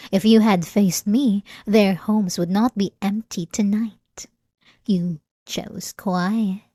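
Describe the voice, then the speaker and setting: Woman acting as obsessive female vampire, unhurried, seductive, taunting, theatrical ASMR roleplay, close and dry sound. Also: medium pitch, darkish tone, dry recording. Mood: accusing, mocking. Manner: slow, theatrical, seductive taunting with drawn-out pauses. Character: obsessive, possessive, manipulative centuries-old female vampire lover.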